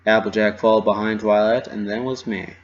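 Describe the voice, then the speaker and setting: Low-voiced man reading a dramatic story. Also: fairly quick, highs cut off, slight background noise. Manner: expressive, dramatic delivery. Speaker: reader of fan fiction. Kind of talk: dramatic first-person story narration.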